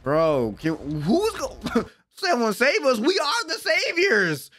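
A young American man giving excited, slangy, exclamatory live reaction commentary at a close desk mic in a room at home. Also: very high voice, slow, faint music behind. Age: young adult. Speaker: reaction video host. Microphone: close desk microphone.

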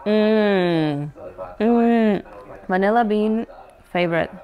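A young woman from Austria, chatting casually to the camera as a vlogger, relaxed and talkative. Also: mid-range voice, slow, dull audio, faint music behind. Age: young adult.

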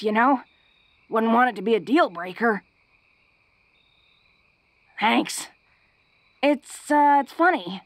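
Woman acting a tough but bashful orc girl, unhurried. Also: mid-range voice, no room sound, lightly acted. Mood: nervous, confessing. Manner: bashful, halting, breaking into soft chuckles. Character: big, tough young orc woman, secretly awkward and tender. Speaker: voice actor. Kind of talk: intimate romantic audio roleplay spoken to the listener.

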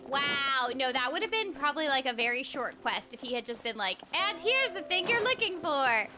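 A woman's voice: high-pitched, big pitch swings, normal speed. A young woman casually commenting on a game stream, playful, reading dialogue aloud and mimicking characters. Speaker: streamer, voice actor. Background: game music.

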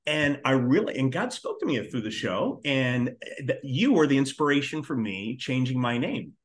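A man's voice: mid-range voice, sing-song, moderate pace. A man in his fifties hosting a casual interview in an effusive, earnest way, often repeating words. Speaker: podcast host, interviewer. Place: living room at home, on a video call.

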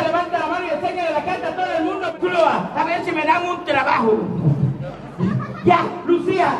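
A Cuban man performs playful street magic patter in a strikingly high, projected voice. He jokes at his own expense as he works the audience. The sound is distant and echoey, with a lively crowd around him in the open air. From Cuba. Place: outdoor town square in front of an audience. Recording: echoey outdoor crowd recording from far off.

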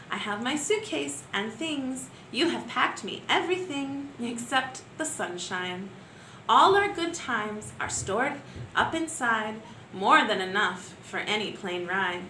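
A young woman teacher reading poems aloud to young pupils in an expressive sing-song way, with gentle asides between poems, filmed at home on a close webcam. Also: a little high, takes it slowly, slight background noise. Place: living room at home. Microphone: webcam at close range. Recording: echoey room with faint ambience.

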